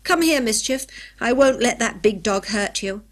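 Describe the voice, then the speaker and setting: A woman voicing a small, excitable, defiant boy in a children's story, loud and fierce. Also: a little high, moderate pace, natural voice. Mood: furious, defiant.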